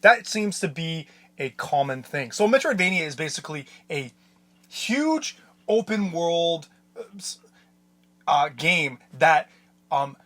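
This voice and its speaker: Podcast host, man, excitable explainer with a slightly high voice, stop-start delivery. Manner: animated, laughing, halting explanations. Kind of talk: casual chat.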